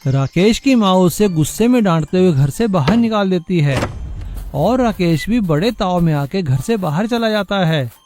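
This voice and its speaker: A man's voice: sits high, expressive, normal speed, a little mellow, slight background noise. A man reading scripted narration for a moral story. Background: music.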